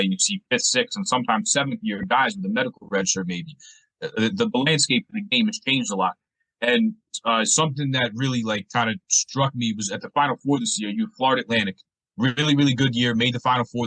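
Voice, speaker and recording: A man's voice: lowish voice, bright, forceful tone, no room sound, rough recording. A man hosting a sports podcast, asking conversational interview questions with long, detailed lead-ins.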